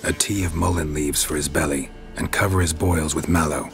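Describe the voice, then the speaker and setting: An actor playing a stoic, blunt monster hunter in a video game, speaking in terse, deadpan, clipped sentences. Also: male voice, a bass voice, moderate pace, soft background music. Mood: cool, probing. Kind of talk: video game cutscene acting.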